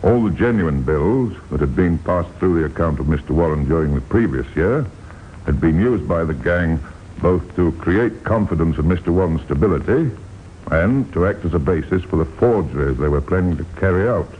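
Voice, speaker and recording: A man narrating a vintage radio crime series in a deliberate, dramatic storytelling manner. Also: sits low, animated, slight background noise. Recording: dull vintage radio recording with music. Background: music.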